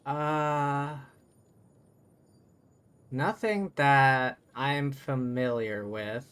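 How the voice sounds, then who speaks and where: A man's voice: mid-range voice, very slow, a bit bright, smooth. A man livestreaming a game with casual commentary, chatty and thinking aloud. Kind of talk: casual live stream commentary.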